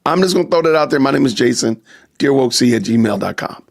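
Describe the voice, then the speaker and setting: A man's voice: medium pitch, lively intonation, quick pace, gravelly. A man hosting a live stream podcast, talking casually to his chat and repeating rhetorical questions. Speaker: podcast host.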